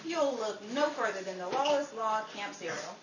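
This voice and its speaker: Woman playing upbeat vintage-style commercial announcer; animated delivery, roomy sound. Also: fairly high pitch, normal speed, recorded from a distance, very noisy, close to an everyday voice. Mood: upbeat, selling. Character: chipper cereal advert announcer. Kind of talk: scripted 1950s-style radio commercial.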